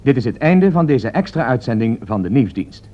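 A man playing a grave, official newsreader, delivering a formal and deliberate bulletin in a radio drama. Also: mid-range voice, sing-song, dark tone, lightly acted. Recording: muffled old radio recording.

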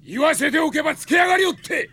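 Haughty, wildly expressive enemy captain. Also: male voice, very high-pitched, fast, exaggerated voice. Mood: angry, threatening. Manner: haughty, mockingly polite, contemptuous. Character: arrogant, sneering enemy army captain, former knight. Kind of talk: Japanese radio drama acting.

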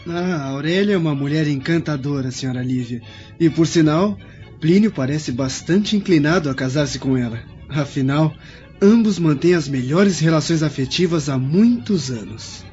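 A man's voice: a little high, expressive, moderate pace, a little mellow, lightly acted. An actor playing a courteous, amiable Roman nobleman in a radio drama, speaking formally. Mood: amiable, teasing. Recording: dull lo-fi radio drama, music behind.

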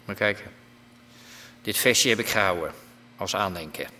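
Calm underworld drug boss, sharp bright tone, lowish pitch, terse, menacing, radio drama, faint noise behind. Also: male voice, unhurried, full character voice. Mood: cool, menacing. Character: menacing, calmly businesslike male drug boss. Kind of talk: naturalistic radio drama acting.

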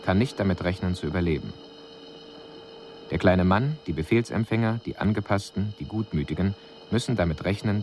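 Very low-voiced grave alien observer. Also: male voice, noisy background. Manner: formal, measured, declarative. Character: male alien observer delivering a grave, detached report. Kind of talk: scripted radio drama monologue.

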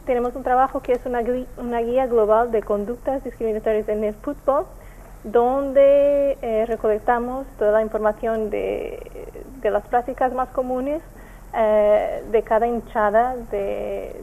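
A woman from Portugal with a Portuguese accent, a native Portuguese speaker, giving careful, halting interview answers as an NGO representative. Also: fairly high pitch, fairly even intonation, narrow, tinny audio. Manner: halting, careful, searching for words. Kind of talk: explanatory radio interview answers.

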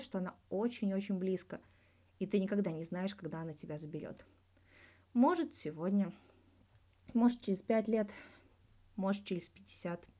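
A woman's voice: medium pitch, a little mellow, close to an everyday voice. A woman voicing a frank, colloquial male hockey star answering in an audiobook interview. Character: blunt, reflective male Russian hockey star giving an interview.

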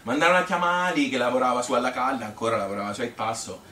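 A man playing a chatty old Tuscan grandmother who tells her life story in a colloquial, rambling, halting stage monologue. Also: mid-range voice, sharp tone, lightly acted. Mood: wistful, matter-of-fact. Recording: roomy, noisy, distant stage recording.

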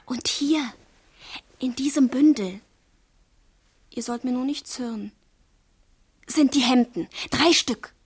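An actress playing an earnest, lovestruck young girl in a radio drama, speaking softly and hesitantly with a breathy voice. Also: high-pitched, slow pace, long pauses, heavily acted. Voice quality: breathy, soft. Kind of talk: literary radio drama acting.

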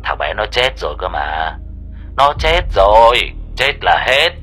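Man acting a low, gruff, dismissive boyfriend. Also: sing-song, unhurried, sharp tone, faint music behind, exaggerated voice. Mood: irritated, dismissive. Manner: grumbling, blunt, commanding. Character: gruff, dismissive boyfriend of a frightened young woman. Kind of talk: dramatized horror audio story.

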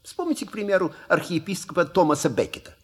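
Radio comedy: a sly manservant, urbane and ironic, husky voice with wide swings in pitch. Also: male voice, expressive, fast talker. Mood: dry, polite. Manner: urbane, ironic, elaborately polite. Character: Sebastien, suave sly manservant, politely ironic. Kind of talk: theatrical radio play acting.

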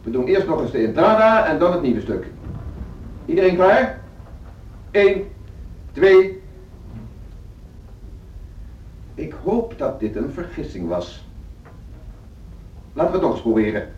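A man's voice: on the high side, big pitch swings, unhurried, pauses often, darkish tone. Echoey, stern male orchestra conductor. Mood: impatient. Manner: condescending, formal, commanding. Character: stern, authoritarian music school orchestra conductor, losing patience. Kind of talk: radio drama acting. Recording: echoey, distant, dull noisy radio recording.

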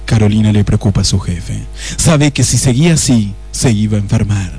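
A man's voice: lowish voice, a little fast, dark tone, breathy edge. A man narrating a comic radio play in a theatrical manner. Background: music.

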